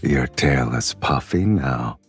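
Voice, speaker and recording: A man voicing a suave, teasing male crime boss in a game, with a very deep, husky, low-key drawl. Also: expressive, takes it slowly, soft background music, full character voice.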